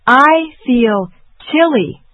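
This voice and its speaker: A woman repeating short phrasebook sentences slowly and deliberately in a drill. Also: sits high, lively intonation, fairly bright tone, no echo. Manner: slow, careful, deliberate. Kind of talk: slow repetition drill. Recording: dull dry lo-fi audio.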